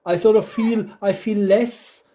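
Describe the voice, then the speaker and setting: A man's voice: high voice, steady tone, a little slow, warm tone. A middle-aged man with a German accent, hosting a casual interview chat and talking in a hesitant way with many ums and restarts. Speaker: podcast host.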